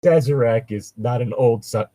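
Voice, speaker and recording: A man's voice: medium pitch, moderate pace, mellow, no echo. A man doing casual comedic commentary as a co-host reading fan fiction aloud, sweary, mocking and exasperated. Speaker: podcast co-host.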